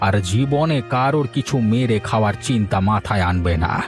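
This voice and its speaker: A man plays a village healer in an animated tale. His voice sits at a medium pitch, stern and admonishing, with a crafty streak. Soft music plays behind it. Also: a little fast, natural voice. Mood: stern, scheming. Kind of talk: animated story dialogue.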